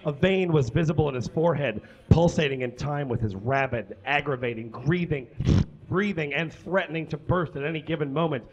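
Male live reader, theatrical comic delivery, voice on the higher side, somewhat muffled recording. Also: fairly quick. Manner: theatrical, emphatic, with stumbles over words. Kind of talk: comic dramatic reading of fan fiction to an audience. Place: small indoor stage with curtains.

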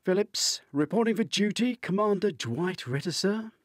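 Posh, high-pitched British ex-commander, done by a male reader. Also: lively intonation, normal speed, dry recording, studio quality. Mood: mock formal. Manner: clipped, formal, wry.

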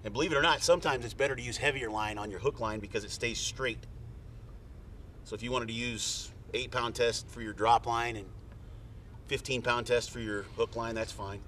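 A man's voice: medium pitch, steady tone, moderate pace, pushed and bright. A middle-aged man, casually explaining step by step how to tie a fishing rig. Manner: plain, folksy, step-by-step instructions. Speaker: fishing guide. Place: outdoors on a boat on a lake. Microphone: camera mic from a few feet away. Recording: distant outdoor camera audio with faint boat noise.

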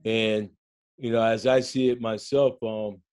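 Older man, low and level voice. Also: level tone, unhurried, a little mellow. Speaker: school coach. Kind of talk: panel discussion. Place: home room, indoors. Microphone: video call.

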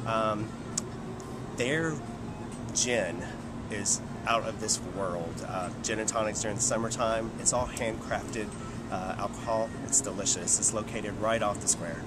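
A man's voice: lowish voice, unhurried. A man, talking casually to camera about favorite local places in a friendly, upbeat way with frequent "um" and "uh". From Kentucky. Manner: friendly, upbeat, filler-laden. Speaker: local restaurant worker recommending shops. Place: outdoors by a building, also indoors by a chalkboard. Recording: loud outdoor ambient noise.

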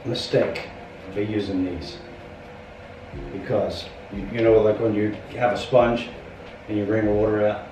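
Man walking someone through painting a door, lowish voice, echoey empty room, mic off at a distance, sanding and rubbing noises. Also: fairly even intonation, a little slow, noisy background. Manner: patient, explains with everyday comparisons. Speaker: painter teaching how to paint doors. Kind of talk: casual hands-on instruction. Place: empty carpeted room in a house. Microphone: camera a few feet away.

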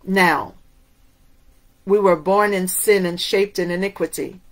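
A woman's voice: on the low side, fairly even intonation, slow, low-quality recording. A middle-aged woman from Cuba whose first language is Spanish, preaching a sermon to camera in an emphatic way, asking many rhetorical questions. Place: indoors in front of a waterfall backdrop.

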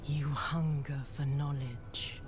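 A woman playing a calm, seductive and menacing demon queen in a dark fantasy game, speaking in a breathy, deliberate way. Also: low voice, background noise. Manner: slow, breathy, deliberate delivery. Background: music.

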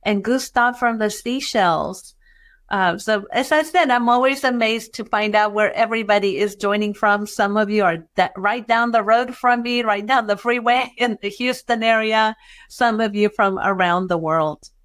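A middle-aged woman from Chile speaks with a Chilean Spanish accent carrying a trace of Texan. She hosts an online lesson from a home office through a webcam, greeting people warmly and padding her speech with fillers. Her voice sits at an ordinary middle pitch. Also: moderate pace. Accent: Chilean Spanish with some Texan. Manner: warm, welcoming, with frequent fillers. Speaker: webinar host and bookkeeping educator. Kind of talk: webinar presentation.

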